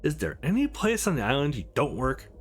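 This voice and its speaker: A man's voice: mid-range voice, wildly swooping pitch, a little fast, faint music behind. A man voicing the guarded, wisecracking young hero of a visual novel in a dry, blunt way. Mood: dry, teasing.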